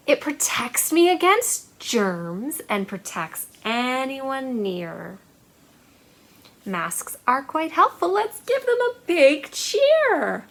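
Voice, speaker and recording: A woman with a high, bright voice presents a storytime for small children. She speaks in simple, encouraging phrases with a sing-song lilt. Her delivery is slow and swoops widely in pitch. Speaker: children's librarian, storytime host.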